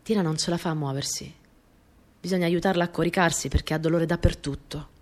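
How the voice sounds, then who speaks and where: Radio play lead: actress as young Italian wartime courier, slightly low female voice, earnest and dreamy, simple reminiscent delivery. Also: fairly even intonation, fast, darkish tone, close to an everyday voice. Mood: grave, subdued. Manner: simple, conversational, reminiscent storytelling with short phrases. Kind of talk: radio theatre monologue, intimate first-person storytelling.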